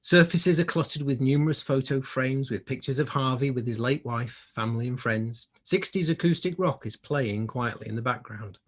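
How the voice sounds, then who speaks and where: A man's voice: medium pitch, moderate pace, few pauses. A man reading stage directions as scripted narration.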